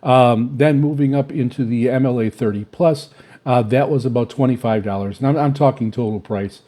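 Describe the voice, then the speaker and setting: A man giving an unscripted, casual product talk as a hobbyist, rambling along haltingly with very frequent filler pauses. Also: mid-range voice, dark tone. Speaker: shortwave radio hobbyist reviewing antennas.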